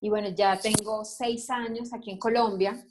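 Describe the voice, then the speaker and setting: A woman in her forties with a Venezuelan accent speaks in a middle-range voice. She tells her story in a steady, open way, as a guest on an interview. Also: mid-range voice, normal speed, background noise. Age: 40s. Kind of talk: video call interview.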